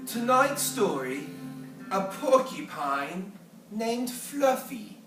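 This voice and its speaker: A storyteller reading a children's picture book aloud with animated, theatrical, deliberate phrasing. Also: medium pitch. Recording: echoey room, distant mic, faint music.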